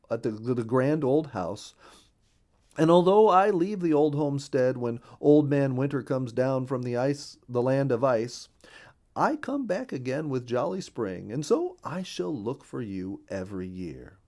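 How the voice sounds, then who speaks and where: A man's voice: medium pitch, expressive, unhurried, darkish tone, voice pushed up. A man voicing a cheerful, friendly male bluebird in a children's storybook reading, chatty and neighborly.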